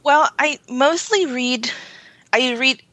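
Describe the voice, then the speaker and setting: A woman's voice: high voice, slow, a bit bright, dry recording. A woman whose first language is Spanish, a guest on a podcast, chatting in a friendly, easygoing way. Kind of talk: casual interview chat.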